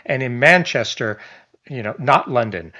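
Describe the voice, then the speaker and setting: A man talking through a news story for his online channel. His voice sits at an ordinary middle pitch, and he mixes reading with loose, chatty asides, stumbling restarts and small chuckles. The audio sounds a little dull and lo-fi. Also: animated, moderate pace, bright, forceful tone. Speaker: online commentator. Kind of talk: reading a news article aloud with casual commentary.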